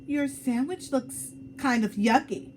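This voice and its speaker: A squeamish, indignant little schoolgirl from a storybook, acted out by a woman reader. She speaks high and loud, stretching her words in blunt exclamations, over faint noise. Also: takes it slowly.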